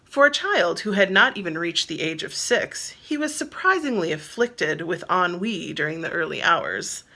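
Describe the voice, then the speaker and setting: A woman's voice: on the low side, very animated, normal speed, pushed and bright. A woman reading her own novel aloud as narrator, in scripted storybook style.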